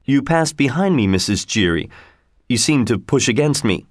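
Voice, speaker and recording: A man's voice: mid-range voice, expressive, normal speed, dry recording, clean studio sound, lightly acted. A man voicing a pompous, irritable male opera manager in an audiobook, speaking with accusing emphasis. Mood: puzzled, defensive.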